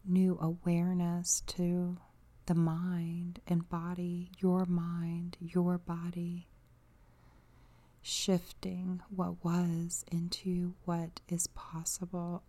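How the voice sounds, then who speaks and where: A woman leading a guided meditation in a soft, breathy, half-whispered voice, soothing and unhurried, with pauses between phrases. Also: on the low side. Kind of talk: guided meditation, softly spoken.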